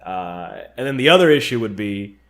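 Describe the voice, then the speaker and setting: A man's voice: lowish voice, animated, normal speed, fairly bright tone. A man co-hosting a casual fan discussion, talking in an informal, conversational way. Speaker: podcast co-host.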